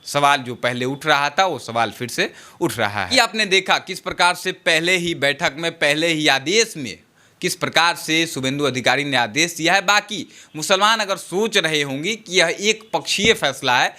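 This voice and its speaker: A man's voice: fairly high pitch, lively intonation, normal speed, sharp tone. A man presenting and explaining political news in an emphatic, repetitive way.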